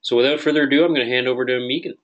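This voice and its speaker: A man with a Canadian accent hosting a webinar as client services manager, relaxed and friendly, with frequent uhs. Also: mid-range voice, racing, lo-fi sound. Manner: friendly, relaxed, filler-heavy. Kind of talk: webinar introduction.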